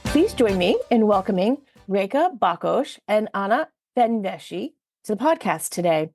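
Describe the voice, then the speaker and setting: A woman's voice: medium pitch, sing-song, moderate pace, darkish tone, soft background music. A woman hosting a podcast, greeting listeners and introducing her guests in an upbeat, welcoming way. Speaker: podcast host. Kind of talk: scripted podcast intro and interview.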